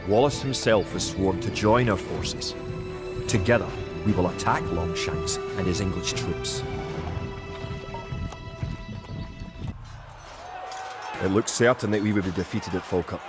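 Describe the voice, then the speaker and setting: Over clear background music, a man plays a solemn Scottish soldier of the Middle Ages in a low voice. He recounts a war campaign for a strategy game with grave, measured resolve, in dramatic scripted narration. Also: lightly acted. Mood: resolute.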